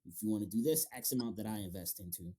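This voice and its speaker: A man's voice: fairly low pitch, fast talker, mellow. A man hosting a casual interview, speaking in an informal, slangy way. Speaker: interviewer, show host.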